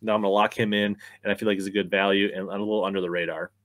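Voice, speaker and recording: A man's voice: fairly low pitch, little pitch movement, very fast, fairly bright tone, no room sound, studio quality. An American man in his thirties giving sports commentary and picks to camera as the host. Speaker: fantasy fishing pundit and host. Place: small indoor room, seated at a desk.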